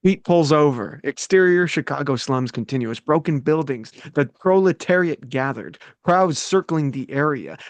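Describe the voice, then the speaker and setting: A man reading the stage directions of a script at a table read, steady and matter-of-fact. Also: fairly high pitch, normal speed, hardly any pauses, no room sound.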